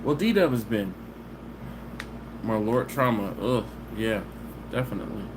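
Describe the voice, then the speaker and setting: A man's voice: fairly low pitch, lively intonation. A man, hosting a casual live stream from home on his phone and chatting easygoing with viewers. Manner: easygoing and chatty, with drawn-out "mm-mm" hums. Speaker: barbecue channel host. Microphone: phone camera. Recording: live stream audio with background hiss.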